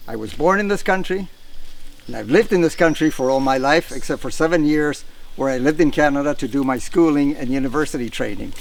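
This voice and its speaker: A retired older man from Costa Rica talks outdoors in the countryside, relaxed and unhurried. He pauses on fillers between thoughts. His voice sits a little high for a man. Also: gravelly. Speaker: retired nature photographer. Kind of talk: casual on-camera explanation.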